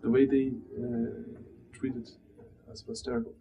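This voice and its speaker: A man in his thirties with a Turkish accent, speaking haltingly and searching for words as he reflects in an interview. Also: medium pitch, sing-song, gravelly, mellow. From Turkey. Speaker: interviewee, immigrant telling personal story. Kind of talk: reflective personal interview testimony. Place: small plain room indoors. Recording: dull muffled sound, background room noise.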